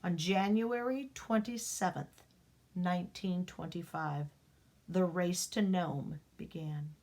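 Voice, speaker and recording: Woman storybook reader, unhurried, expressive with dramatic hushes; for a children's read-aloud. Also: fairly low pitch. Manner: expressive, rising and dropping to a hush for drama. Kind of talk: reading a picture book aloud to children.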